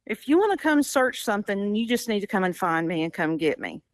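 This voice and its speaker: A middle-aged woman with an average-pitched voice, caught in an unscripted phone conversation. She is blunt and disbelieving, pushing back defiantly, and the sound is dry. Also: sing-song, a little fast, no room sound. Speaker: prank call target, a mother.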